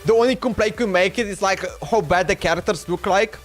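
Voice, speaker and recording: Guy streamer w a high pitched voice, slow slangy reaction chat, repeats himself, bit of music behind. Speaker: livestreamer. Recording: background music under voice.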